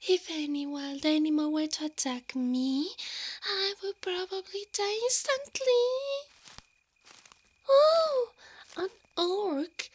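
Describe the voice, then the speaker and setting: High-pitched helpless damsel in distress. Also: female voice, lively intonation, takes it slowly, heavily acted. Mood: mock helpless. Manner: exaggerated, fluttery pleading. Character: fake helpless damsel in distress, sugary and frightened. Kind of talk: ASMR roleplay acting.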